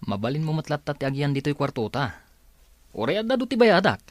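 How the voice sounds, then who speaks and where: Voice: man as animated young Ilocano friend. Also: fairly high pitch, fairly quick, no room sound. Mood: concerned. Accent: Ilocano Filipino. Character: concerned young male friend, generous and loyal. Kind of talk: Ilocano radio drama acting.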